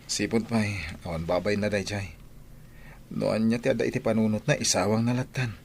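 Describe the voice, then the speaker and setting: A man's voice: fairly low pitch, steady tone, normal speed, creaky, a little hiss or hum. A man with a Filipino accent playing a loyal, upright young family man in an audio drama. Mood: disapproving.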